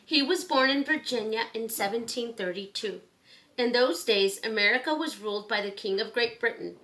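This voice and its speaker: Woman, slightly high voice, measured children's read-aloud with pauses, faintly noisy home recording. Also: fairly bright tone. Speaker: children's book reader.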